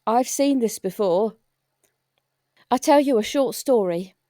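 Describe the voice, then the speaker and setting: A woman's voice: on the high side, animated, measured pace, dark tone, dry recording, studio quality. A woman playing a timid, deferential hospital porter with a shady past in a comic audio drama, stammering politely. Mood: nervous, polite.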